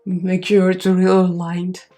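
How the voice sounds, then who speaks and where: A woman with a stuffed-up, nasal voice from a cold, pitched a little low, chatting loosely through a makeup tutorial with plenty of "you know" and "so". Soft music plays faintly behind her. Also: completely flat delivery, takes it slowly. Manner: chatty, rambling with fillers, talks while applying makeup. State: congested, nasal, strained voice from a cold. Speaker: beauty vlogger. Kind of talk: casual makeup tutorial chat.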